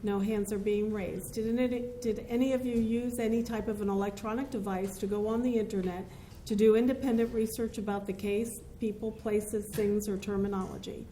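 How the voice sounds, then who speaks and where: Female judge, mellow tone, steady formal questioning, echoey courtroom with faint hiss. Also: medium pitch, moderate pace. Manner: formal, methodical instructions to jurors. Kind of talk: courtroom proceedings.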